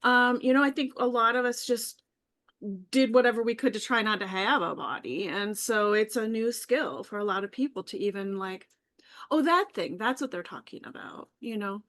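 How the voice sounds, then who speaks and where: Easygoing woman guest on a video call, kinda high voice, laughs a lot. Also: normal speed, fairly bright tone. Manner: chatty, easygoing, laughs readily. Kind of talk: casual live video chat.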